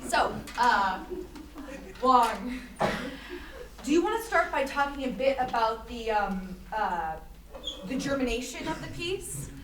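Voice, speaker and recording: An echoey, noisy live recording of a woman acting as an awkward, eager gallery interviewer. Her fairly high voice halts and stammers, repeating words in a staged artist talk. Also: very animated, takes it slowly, pauses often. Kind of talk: staged play reading, mock interview. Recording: noisy echoey room, distant mic.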